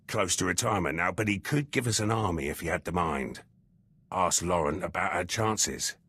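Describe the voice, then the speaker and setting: Gruff, husky, deep-voiced soldier. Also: male voice, a bit bright, heavily acted. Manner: terse, clipped phrases that drop words. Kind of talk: video game character dialogue.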